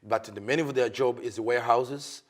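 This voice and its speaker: A man from Africa, a pastor answering interview questions earnestly in halting, learned English. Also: medium pitch. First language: not English. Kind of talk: TV interview guest.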